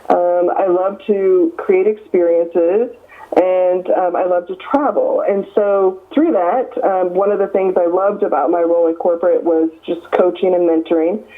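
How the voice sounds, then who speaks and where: A Midwestern American woman in her fifties, an author being interviewed, talking earnestly with many ums and restarts. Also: on the low side. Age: 50s. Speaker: author guest. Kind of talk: casual interview over the phone. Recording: tinny phone line.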